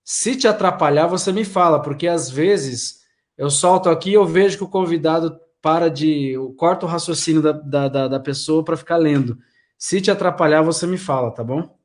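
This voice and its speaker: A man's voice: a little high, moderate pace. A male host of a live video-call interview show, casual and chatty, reading out viewers' comments. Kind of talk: live-stream interview hosting.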